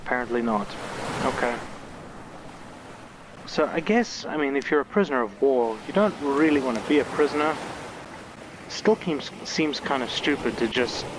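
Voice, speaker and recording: Male game reviewer, medium pitch, informal let's play chat, noticeable background noise. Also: animated, moderate pace, pauses often. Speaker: game reviewer playing and commenting. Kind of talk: casual let's play commentary.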